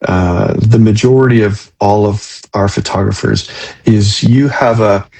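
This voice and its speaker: Man, interview host, lowish pitch, husky tone, halting, tentative, conversational. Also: steady tone, darkish tone, low-quality recording. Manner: halting, tentative phrasing with long questions.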